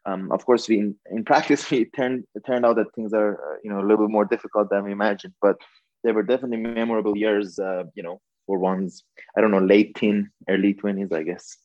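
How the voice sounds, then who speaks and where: A man's voice: fairly low pitch, steady tone, a little fast, a little mellow, dry recording. Man, 30s, guest author, dry muffled remote audio, thoughtful with easy laughs. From Iran. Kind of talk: casual interview.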